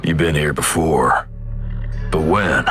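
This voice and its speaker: Grave frontier storyteller in a game: low-voiced American Western drawl, ominous dramatic narration, loud score underneath. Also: male voice. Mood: grave, mysterious. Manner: slow, ominous, folksy phrasing. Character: weathered frontier narrator man, grave, brooding, mystical drawl. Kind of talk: dramatic scripted game narration, addressing the listener. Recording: loud background music. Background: loud music.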